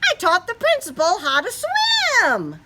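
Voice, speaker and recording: A woman voicing an excitable, loud young boy frog in a children's picture book read-aloud. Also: very high-pitched, a little slow, very noisy, pitched above the performer's own voice, exaggerated voice. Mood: eager, excited. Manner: exclaiming, eager, shouting.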